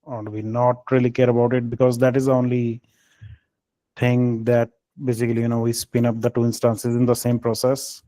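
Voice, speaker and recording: A man leading a technical team call, speaking in a halting, hesitant way with filled pauses. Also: medium pitch, completely flat delivery, mellow, no echo, poor audio. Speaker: meeting lead.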